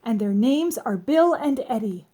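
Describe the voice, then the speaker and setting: A woman's voice: a little high, expressive, measured pace, warm tone, slightly rough. A woman voicing a cheerful, earnest, literal-minded housekeeper and babysitter from a children's storybook.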